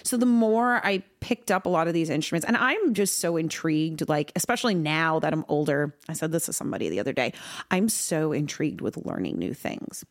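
A woman's voice: deep voice, expressive, brisk. A woman in her thirties from New York, a guest musician talking in a casual podcast chat, bubbly and chatty with frequent laughs and filler words.

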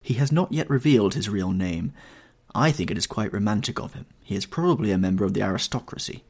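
A man's voice: on the low side, lively intonation, quick pace, darkish tone, husky. A man voicing a vain, affected older mother, a former actress, in a stately, genteel, theatrical manner for an audiobook. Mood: complacent, genteel. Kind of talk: audiobook character dialogue.

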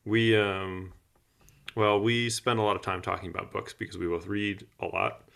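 A man's voice: lowish voice, little pitch movement, normal speed, vocal fry, a bit bright. A man of thirty chatting casually as the co-host of a podcast.

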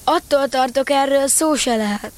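Children's audio drama: female actor as young boy, high voice, kind, affectionate, calmly practical. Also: lightly acted. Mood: reassuring. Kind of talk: radio play for children.

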